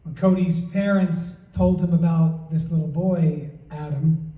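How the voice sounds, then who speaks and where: A man presenting at an event, reading a prepared introduction in a measured, storytelling way in a large community hall. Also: fairly high pitch, steady tone, warm tone. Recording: roomy hall, mic at a distance.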